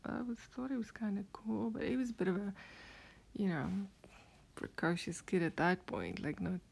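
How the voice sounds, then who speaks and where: Vlogger woman with a South African accent: husky, creaky, soft-spoken, meandering storyteller who drifts into a whisper. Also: medium pitch. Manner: soft, often half-whispered, hesitant with many fillers. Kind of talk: rambling recounting of a dream.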